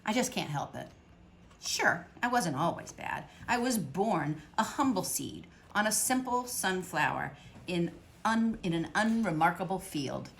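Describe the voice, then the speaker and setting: A woman reading a picture book aloud to children at storytime, expressive, with dramatic pauses. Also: on the low side, vocal fry, slight background noise.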